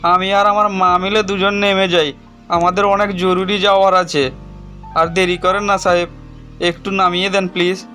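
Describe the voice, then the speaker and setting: Horror cartoon son male performer: high voice, stubborn and pleading with his mother, music underneath. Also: flat delivery, normal speed, pushed and bright. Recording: music behind the voice.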